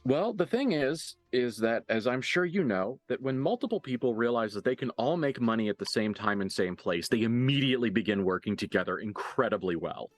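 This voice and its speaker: A man running a tabletop role-playing game as game master, improvising narration and dialogue in a casual, halting, sweary way. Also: medium pitch, expressive, no echo, faint music behind.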